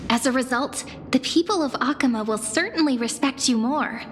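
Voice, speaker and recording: An actress playing a thoughtful, playful young woman companion in a video game, with a measured, confiding, wry manner. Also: high-pitched, a little hiss or hum, close to an everyday voice. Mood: encouraging, playful.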